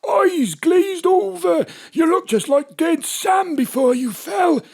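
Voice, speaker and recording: A woman playing an excitable, colloquial drinking companion in a comic audio drama. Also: extremely high pitch, slow, gravelly, heavily acted. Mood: alarmed, scolding. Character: Bob, earthy excitable drinking companion at the pub.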